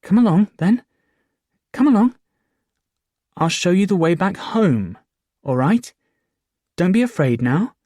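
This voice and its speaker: An earnest young man, as a male reader plays him, coaxes gently in a voice lifted somewhat above his normal pitch. The tone is soft and reassuring, like a storybook hero calming a stranger, with lively rises and falls, heard close and dry. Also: a little slow, long pauses, no room sound. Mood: coaxing gentle. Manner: gentle, coaxing.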